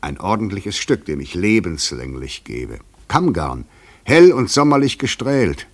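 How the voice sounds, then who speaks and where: An actor in a radio play playing a probing, insistent stranger who talks in a theatrical, poetic way, moving between soft and emphatic. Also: male voice, low-pitched, sing-song, measured pace, heavily acted. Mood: musing, pressing. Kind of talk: German radio play acting.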